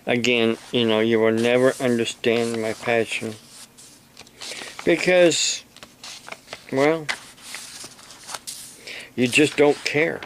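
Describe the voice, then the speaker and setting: A man from the United States speaks very slowly in an unscripted vlog. He explains things in short, halting phrases broken by long pauses. There is faint noise behind his voice. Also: medium pitch, steady tone, rough recording. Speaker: amateur radio operator and preacher making a video.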